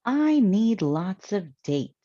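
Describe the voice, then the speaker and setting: Woman playing an earnest, literal-minded housekeeper, softly mellow. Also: medium pitch, sing-song, takes it slowly, dry recording, dull audio, voice pushed up. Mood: busy, musing.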